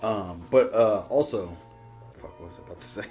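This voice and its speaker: A man's voice: fairly low pitch, moderate pace, a little mellow, soft background music. A man hosting a reaction show, chatting casually and rambling as he thinks aloud.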